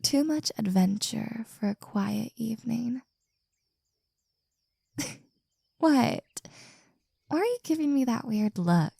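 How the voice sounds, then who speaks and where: A woman performing an intimate scripted audio roleplay, talking softly and teasingly and often dropping into a whisper, close to the mic. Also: on the high side, lively intonation, takes it slowly, gravelly, long pauses, husky, no echo.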